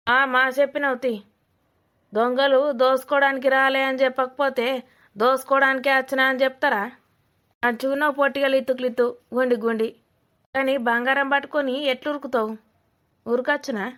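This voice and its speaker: A woman's voice: sits high, flat delivery, moderate pace, pauses often, fairly bright tone. A woman voicing a suspicious, scolding old village woman in a cartoon comedy, with a Telangana accent, blunt and mocking. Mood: mocking, accusing. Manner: blunt, mocking, rambling accusations.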